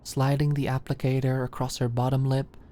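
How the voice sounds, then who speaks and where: Very low-voiced woman narrating a story. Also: flat delivery, brisk, dark tone. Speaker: audiobook narrator. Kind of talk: scripted romance story narration, ASMR audiobook.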